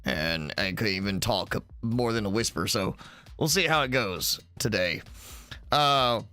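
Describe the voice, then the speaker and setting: A man with a head cold hosting a casual live stream, clearing his throat often and explaining things in a rambling way with lots of fillers. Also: lowish voice, expressive, unhurried, sharp tone, faint music behind. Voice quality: throat-clearing, slightly stuffy. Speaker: game streamer.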